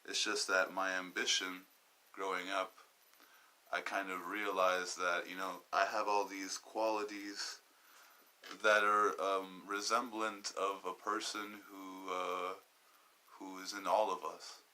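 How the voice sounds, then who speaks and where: Low-voiced, sharp-toned young man. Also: flat delivery, low-quality recording. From Southern California. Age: 20s. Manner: halting and wandering, searching for words. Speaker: podcast host. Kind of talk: personal podcast monologue.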